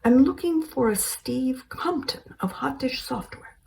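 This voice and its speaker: Genteel businesswoman, voiced by a woman; breathy, high and proper. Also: animated, unhurried, darkish tone, far from the mic, exaggerated voice, poor audio. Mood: anxious polite. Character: polite English businesswoman, middle-aged.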